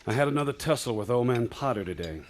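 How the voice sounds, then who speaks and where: An actor playing a kind, tired father who speaks gently in a radio play. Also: medium pitch, expressive, fast talker, background noise, close to an everyday voice. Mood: gentle. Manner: gentle, warm-hearted.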